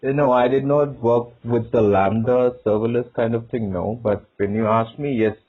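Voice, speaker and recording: A man's voice: medium pitch, steady tone, normal speed. A man answering as a candidate in a phone job interview, hesitant and often repeating his words. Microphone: phone call. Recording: narrowband tinny phone line.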